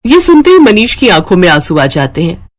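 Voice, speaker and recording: A woman storyteller narrates a scripted moral tale. Her mid-pitched voice moves up and down in a lively way. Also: fairly quick, no echo, clean studio sound.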